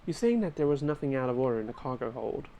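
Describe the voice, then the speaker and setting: A woman's voice: a bass voice, sing-song, fast talker, warm tone, a little hiss or hum, heavily acted, low-quality recording. A woman voicing an earnest young male defense lawyer who presses a witness with questions. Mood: probing. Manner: probing, questioning.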